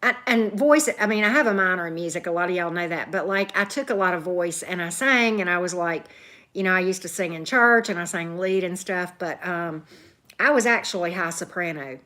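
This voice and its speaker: A woman with a deep voice, hosting a tarot livestream as casual chat, greeting viewers by name and often tagging on "right?". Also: fairly quick, sharp tone. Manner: chatty, keeps asking "right?", greets commenters by name.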